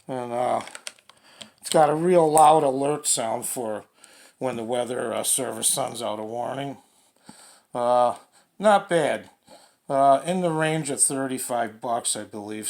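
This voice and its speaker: A man showing products casually and unscripted, in a plain, folksy, halting way. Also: medium pitch, creaky, darkish tone. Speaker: radio hobbyist presenting product reviews.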